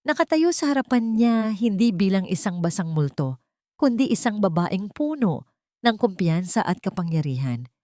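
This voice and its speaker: A woman's voice: mid-range voice, sing-song, normal speed, a little mellow, dry recording, clean studio sound. A woman storyteller reading a scripted audiobook in a dramatic narrative style.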